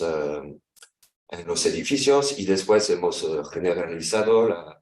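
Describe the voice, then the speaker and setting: A very low-voiced man in his thirties with a French accent gives an academic talk, working through a second language with frequent halts and self-corrections. He sounds set back from the mic in an echoey room. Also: darkish tone, recorded from a distance. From France. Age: 30s. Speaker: guest lecturer.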